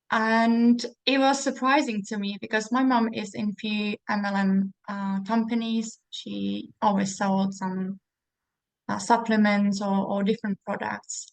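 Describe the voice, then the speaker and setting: A woman's voice: on the high side, no room sound, low-quality recording. A woman from the Czech Republic with a Czech accent, hosting a casual interview and talking chattily with fillers and restarts. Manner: chatty with frequent fillers and restarts, laughing now and then. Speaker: host.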